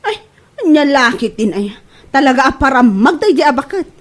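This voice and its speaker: A woman's voice: high voice, expressive, moderate pace. A woman playing an indignant, easily offended grown daughter with a Filipino accent, complaining plaintively in a comedy drama. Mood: exasperated. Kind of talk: Ilocano comedy radio drama acting.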